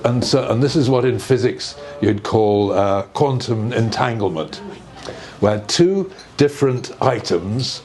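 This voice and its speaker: An older man giving an informal, measured explanation in conversation, often checking with rhetorical questions. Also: mid-range voice, a little mellow. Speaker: speaker on earth energies and ancient sites. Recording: noticeable background noise.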